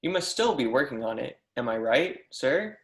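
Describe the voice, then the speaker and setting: Man playing young mathematics professor, earnest, insistent, declamatory stage reading, roomy echo, medium pitch. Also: big pitch swings, normal speed, a bit bright. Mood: eager, hopeful. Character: eager, persistent young mathematics professor pleading his case. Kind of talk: amateur readers theatre script reading.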